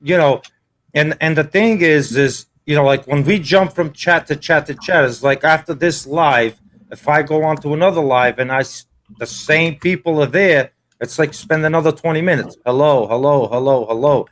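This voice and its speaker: A man's voice: fairly high pitch, moderate pace, fairly bright tone, slight background noise. Man in casual panel chat, restarts a lot. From Portugal. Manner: repeats himself, stumbling restarts. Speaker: panel guest.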